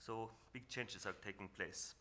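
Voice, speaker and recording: A man from northern Norway with a Norwegian accent gives an earnest, reflective talk on a panel, often pausing and restarting as he searches for English words. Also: fairly low pitch, bright, forceful tone, a little hiss or hum. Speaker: panel speaker. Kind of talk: reflective presentation at a symposium.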